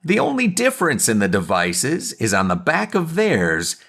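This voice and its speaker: A man's voice: mid-range voice, wildly swooping pitch, normal speed, vocal fry, fairly bright tone, full character voice. A man narrating a superhero audio drama in an earnest, dramatic scripted storytelling style, with background noise. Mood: descriptive.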